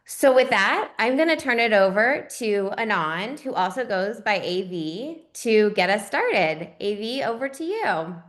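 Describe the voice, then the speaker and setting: A woman moderating a business webinar who introduces the speakers in a loud, upbeat way. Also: mid-range voice, sing-song, a little slow, few pauses. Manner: loud, upbeat hosting delivery.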